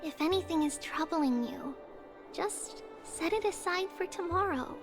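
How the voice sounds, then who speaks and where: A woman voicing a gentle, solemn young girl prophet in a game cutscene. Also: very high-pitched, animated, measured pace, heavily acted. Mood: gentle, reflective. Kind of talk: scripted game cutscene dialogue. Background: orchestral music.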